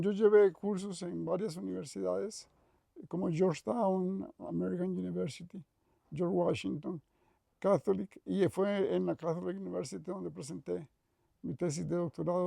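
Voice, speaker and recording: An older man with a Costa Rican accent speaks in a measured, reflective way, stopping now and then to correct himself. His voice sits fairly high but is heavily gravelly and mellow. The recording is close and dry. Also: no room sound. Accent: Costa Rican Spanish. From Costa Rica. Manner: thoughtful, measured, with frequent restarts and self-corrections. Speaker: retired professor interviewed. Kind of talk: reflective interview reminiscence.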